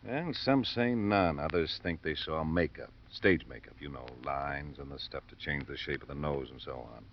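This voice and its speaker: An actor playing a harried police lieutenant, weary, sardonic and grumbling, in 1950s radio drama style. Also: male voice, very deep voice, moderate pace. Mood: weary, explaining. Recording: muffled, noisy old radio recording.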